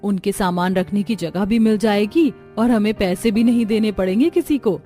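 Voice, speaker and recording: A woman's voice: mid-range voice, moderate pace, warm tone, soft background music, lightly acted. A woman playing chatty young village women in a cartoon story. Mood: thoughtful.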